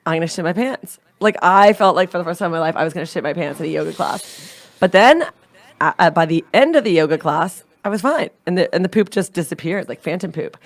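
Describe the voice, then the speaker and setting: A woman comedian co-hosting a podcast, telling rambling, animated stories in casual chat and laughing at her own jokes. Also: fairly low pitch, fast. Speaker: stand-up comedian, podcast co-host.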